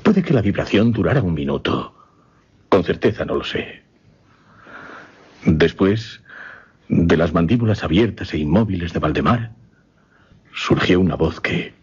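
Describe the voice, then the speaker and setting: Deep, husky, shaken gentleman witness. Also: expressive, heavily acted. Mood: grave dread. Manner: halting, grave, formal and theatrical. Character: shaken gentleman witness recounting a supernatural horror. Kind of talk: suspense radio theatre acting. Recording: dull, muffled radio recording.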